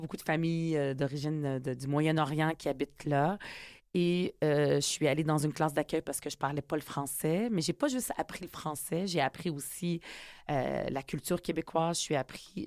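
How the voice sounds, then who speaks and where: Guest, woman in her 40s, Quebec accent, native Arabic speaker, low pitch, dry close sound, earnest and halting. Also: no room sound. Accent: Quebec French. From Middle East, raised in Quebec from age ten. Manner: earnest and reflective, many hesitations. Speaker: politician and author guest. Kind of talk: conversational interview.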